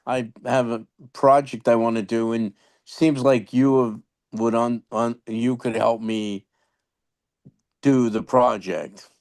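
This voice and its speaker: A man with a New York accent telling animated stories in a casual online chat, full of restarts and chuckles. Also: mid-range voice, slow pace, dry recording. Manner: animated, laughing anecdotes with many restarts. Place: online video meeting.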